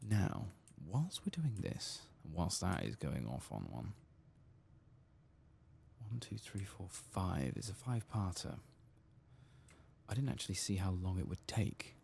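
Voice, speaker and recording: Deep, creaky, soft-spoken ASMR gamer man. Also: sing-song, measured pace, long pauses, a little hiss or hum. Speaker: gaming channel host. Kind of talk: soft-spoken, partly whispered ASMR game commentary.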